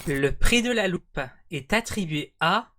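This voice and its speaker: A woman's voice: on the low side, lively intonation, a little slow, background noise. A woman presenting awards and interviewing on a live show.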